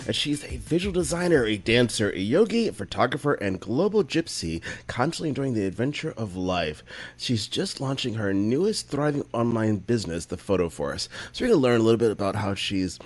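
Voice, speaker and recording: A man's voice: medium pitch, very animated, a little fast. A man hosting a business podcast, interviewing a guest in an enthusiastic, conversational way. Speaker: podcast host. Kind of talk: casual interview.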